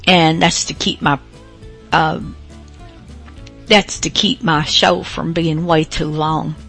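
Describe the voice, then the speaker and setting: A woman hosts a podcast in a casual, off-the-cuff way. Her lowish voice has plenty of fry and moves very slowly, with hesitant, rambling phrases over faint music. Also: fairly even intonation, a bit bright. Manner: hesitant, rambling, pausing often. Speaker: podcast host. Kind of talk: casual, unscripted show introduction.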